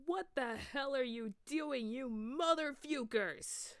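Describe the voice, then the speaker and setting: A woman voicing a pompous, furious old male headmaster from a story, scolding in drawn-out outbursts. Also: a bit bright, smooth, dry recording, clean studio sound. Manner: booming, slow, scolding.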